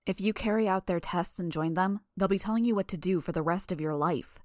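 A woman's voice: fairly low pitch, brisk, darkish tone, dry recording, studio quality, natural voice. A woman voicing a stubborn, earnest teenage girl in an audiobook, insistent and argumentative. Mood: reasoning. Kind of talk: dramatised audiobook dialogue.

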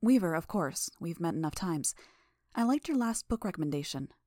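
A woman's voice: fairly low pitch, expressive, moderate pace, dark tone, dry recording, clean studio sound. A woman reading an audiobook, voicing a poised, formal adult woman leader who speaks with careful courtesy. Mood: polite.

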